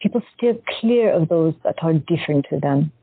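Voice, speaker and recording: A woman's voice: deep voice, expressive, normal speed, husky, exaggerated voice. A woman playing a shy woman patient who has visions, speaking hesitantly and with wonder. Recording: dry, tinny phone-like audio.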